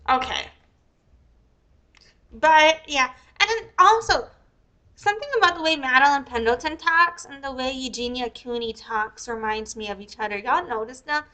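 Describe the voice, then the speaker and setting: A young woman with a squeaky voice who talks in a rambling, profane, casual livestream style. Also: bright, forceful tone. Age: young adult. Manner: rambling, profane, many fillers. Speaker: live streamer.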